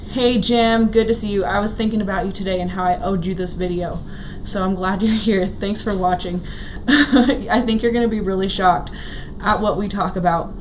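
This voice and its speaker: A young woman with a slightly hoarse voice, chatting earnestly to her viewers on a vlog, with plenty of ums and restarts. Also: medium pitch, a little hiss or hum, rough recording. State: a little hoarse. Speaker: vlogger. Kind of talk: casual talk to camera to live viewers.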